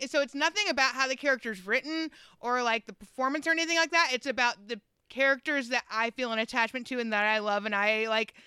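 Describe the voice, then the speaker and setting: Bright, smooth-voiced enthusiastic fan. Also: mid-range voice, fast, hardly any pauses, no echo. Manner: enthusiastic, heavy swearing, lots of fillers. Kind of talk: casual chat.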